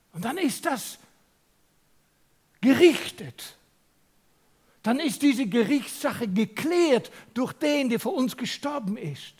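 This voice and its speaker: A man from the Netherlands with a Dutch accent, preaching a sermon to a congregation. He speaks emphatically, asks his listeners questions, and drops from loud to a near whisper. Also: sits high, breathy edge.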